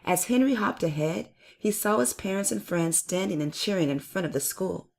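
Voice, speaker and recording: Creaky-voiced woman reading to children. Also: fairly low pitch, animated, moderate pace. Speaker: storyteller and channel host. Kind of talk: children's read-aloud narration.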